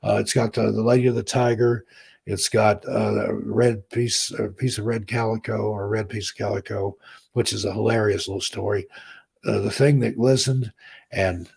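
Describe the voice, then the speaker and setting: A hoarse man hosts a laid-back livestream, rambling easily and reading viewer comments aloud. His voice is deep, gravelly and very husky, worn down as he loses it. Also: steady tone, normal speed, a little mellow. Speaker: livestream host. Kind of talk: casual chat with viewers.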